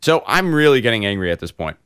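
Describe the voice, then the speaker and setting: A man hosting a casual tech podcast, hoarse and congested, telling long rambling stories full of fillers. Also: medium pitch, quick pace, no room sound, studio quality. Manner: rambling, animated storyteller with many fillers. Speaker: host.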